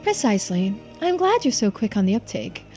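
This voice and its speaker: A woman's voice: mid-range voice, big pitch swings, brisk, warm tone, faint music behind. A woman voicing a composed, polite housewife investigator in a video game, speaking formally and matter-of-factly. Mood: approving. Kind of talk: video game dialogue read-through.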